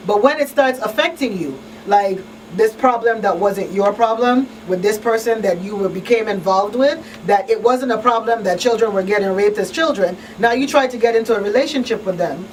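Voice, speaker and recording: A woman's voice: mid-range voice, a little fast, few pauses. A woman hosting a live call-in talk show, speaking emphatically and conversationally, often checking that listeners follow her. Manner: emphatic, conversational, checks in with "you know what I mean". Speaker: talk show host and crisis counselor. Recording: distant mic, faint background noise.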